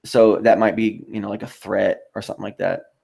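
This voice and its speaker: A man hosting a casual livestream, reading out chat comments and replying in a rambling, halting way. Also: on the low side, little pitch movement, gravelly, warm tone, slightly rough. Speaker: live stream host.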